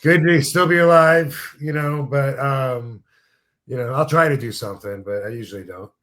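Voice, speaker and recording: A man's voice: mid-range voice, moderate pace, fairly bright tone. A man in his fifties talks through a casual podcast chat. He rambles in a laid-back way, trails off into fillers and swears freely. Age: 50s. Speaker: podcast host, former wrestler.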